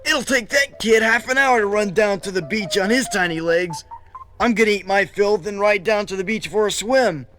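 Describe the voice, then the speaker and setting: A man's voice: sits high, normal speed, bright, forceful tone, soft background music, pitched above the performer's own voice. Man doing a brash bully gang leader. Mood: cocky. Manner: sneering, brash.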